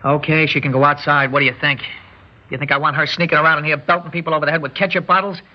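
An actor playing a threatening young armed robber in a classic radio drama, talking in tough, slangy, ungrammatical street talk. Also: male voice, a little high, little pitch movement, very fast, close to an everyday voice. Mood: threatening, wary. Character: jittery young male armed robber holding hostages, threatening. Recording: muffled old radio broadcast.

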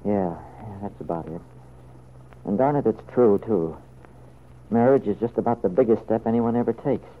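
An actor playing an anxious, tender middle-aged father in an old-time radio serial, speaking in a halting, earnest way. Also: fairly low pitch, natural voice. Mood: hesitant, gentle. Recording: muffled, noisy vintage radio recording.

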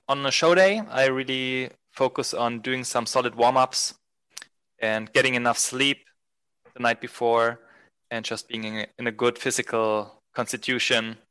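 A man, a band musician, giving relaxed, rambling interview answers. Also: mid-range voice, smooth. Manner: relaxed, rambling, many fillers.

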